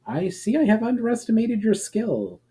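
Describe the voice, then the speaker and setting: A man's voice: high-pitched, very animated, moderate pace, warm tone, breathy edge, voice pushed up, heavily acted. A man voicing a noble male elf warrior in a fantasy storybook, earnest, courteous and formal. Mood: impressed.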